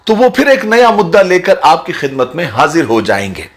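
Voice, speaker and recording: High-pitched man, earnest explainer. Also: wildly swooping pitch, normal speed, a bit bright. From India. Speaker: social commentator speaking to his video audience. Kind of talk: earnest explanatory talk, sermon-like.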